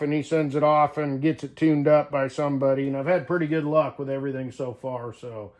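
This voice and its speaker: A man talking casually to viewers in a folksy, unscripted way, thinking aloud as he tinkers through a hands-on demonstration. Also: a little high. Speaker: hobbyist CB radio vlogger.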